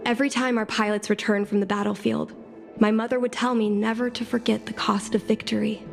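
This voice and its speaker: A woman's voice: a little high, little pitch movement, brisk, creaky. A woman voicing an earnest, thoughtful young girl in a video game, with some hesitation in her speech. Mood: curious, searching. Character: earnest young girl, daughter longing to be a pilot. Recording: clean game dialogue over background music.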